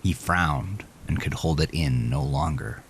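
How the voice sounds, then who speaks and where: A man's voice: extremely low pitch, unhurried, a little hiss or hum. A man narrating a scripted horror story with intense, dramatic delivery that sinks into whispers.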